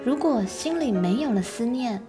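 A woman's voice: fairly high pitch, expressive, normal speed, over music. A woman narrating a scripted children's story as its storyteller.

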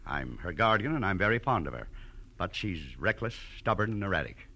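An actor playing a stern, irritable rich guardian in a 1940s radio drama, brusque and authoritative. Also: male voice, deep voice, very animated, a little fast, gravelly, bright, forceful tone, heavily acted. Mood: brusque, worried.

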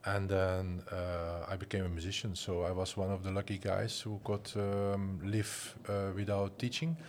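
Flemish man, 40s, low voice, halting non-native English, faint background noise. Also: level tone. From Flanders, Belgium. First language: Flemish Dutch. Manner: hesitant, many restarts and fillers. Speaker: guest, design school director and musician. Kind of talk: casual interview talk.

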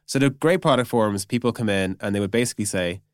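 A man's voice: on the low side, quick pace, no room sound, studio quality. A man speaking as a podcast guest, explaining things in an informal, chatty way with lots of conversational filler phrases. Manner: informal, peppers speech with "like" and "you know". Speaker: podcast guest, product executive. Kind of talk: casual explanatory chat.

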